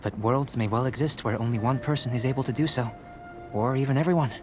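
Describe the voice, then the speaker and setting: Man voicing a calm, courteous young alchemist over music. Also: medium pitch, natural voice. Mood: curious, composed. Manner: measured, analytical, formal, courteous. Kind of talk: video game character dialogue.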